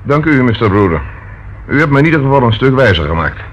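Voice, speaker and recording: A man's voice: medium pitch, very animated, quick pace, close to an everyday voice. A man acting a persistent, courteous police inspector in a Dutch radio play, questioning formally and politely. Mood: polite, thoughtful. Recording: dull, faintly noisy old radio recording.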